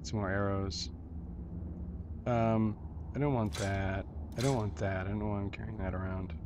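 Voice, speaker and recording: A man's voice: on the low side, steady tone, moderate pace. A man giving casual solo commentary as he plays a video game, muttering to himself and repeating short phrases. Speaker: video game player and host of a gameplay series. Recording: dull lo-fi audio with clear background noise.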